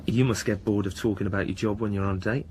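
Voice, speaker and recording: A man acting as a cocky male academic in a radio drama. His voice is a little low, with a breezy, sardonic, flirtatious manner. Some ambient noise sits behind him. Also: fairly even intonation, fast talker, dark tone, lightly acted. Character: cocky, flirtatious, jaded male university researcher.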